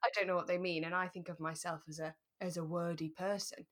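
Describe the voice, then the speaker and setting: British young woman, slightly low voice. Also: steady tone, fast talker, fairly bright tone. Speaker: podcast co-host. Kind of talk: relaxed chat reviewing a radio drama.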